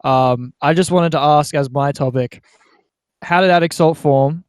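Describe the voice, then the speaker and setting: A man's voice: a little high, a little slow, clear, resonant tone, dry recording, clean studio sound. A man hosting a casual podcast chat. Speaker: podcast host.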